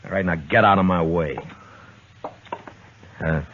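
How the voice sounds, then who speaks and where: Stern old-radio western marshal. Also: male voice, deep voice, a little slow. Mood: defiant, commanding. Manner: terse, commanding. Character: stern, unflappable frontier marshal, a grown man. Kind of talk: 1950s radio western acting. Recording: noisy, muffled old radio recording.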